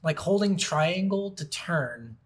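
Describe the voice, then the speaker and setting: Casual, blunt man with a higher-pitched voice. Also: animated, takes it slowly. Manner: blunt, complaining, swears casually. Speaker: podcast co-host reviewing video games. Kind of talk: casual chat.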